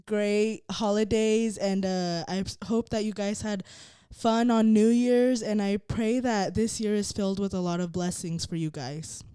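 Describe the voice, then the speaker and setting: A woman's voice: mid-range voice, fairly even intonation, measured pace, dark tone, clear, resonant tone, dry recording, clean studio sound. A woman co-hosting a faith podcast whose throat is a little scratchy, talking casually and laughing easily. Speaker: podcast co-host.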